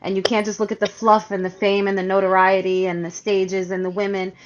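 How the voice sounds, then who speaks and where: A woman's voice: medium pitch, level tone, fairly quick, dull audio. A woman co-hosting a radio interview over a remote call, chatting casually in an engaged, affirming way. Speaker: radio show co-host interviewer.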